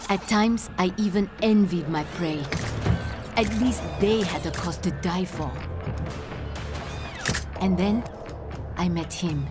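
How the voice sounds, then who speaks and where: An actress playing a seductive, menacing mercenary killer in a video game, with a languid, taunting, theatrical delivery. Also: female voice, fairly low pitch, slow, pauses often. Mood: proud, reflective. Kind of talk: video game cutscene acting. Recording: music behind the dialogue.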